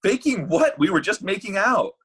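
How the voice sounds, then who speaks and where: A grown-up, sarcastic Cupid played by a man in a comedy table read. The voice is husky and bright, swinging freely up and down with a brash, defeatist edge. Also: high-pitched, big pitch swings, normal speed, heavily acted. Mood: exasperated.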